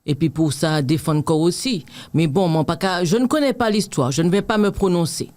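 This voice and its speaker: A woman's voice: on the low side, normal speed, darkish tone. A woman in her fifties with a Martinican accent, chatting earnestly as an interview guest and reflecting on accepting herself. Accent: Martinican French. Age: 50s. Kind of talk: casual interview chat.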